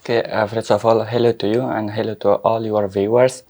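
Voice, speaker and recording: A man's voice: lowish voice, flat delivery, brisk, background noise. A young man from Afghanistan, a second-year student guest in a studio, introducing himself politely and briefly. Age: young adult.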